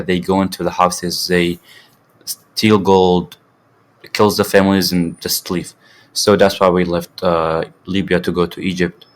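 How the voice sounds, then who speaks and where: Low, flat-voiced teen boy from Syria. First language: Arabic. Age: teens. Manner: halting, many fillers and restarts. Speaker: student guest. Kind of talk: casual interview answers.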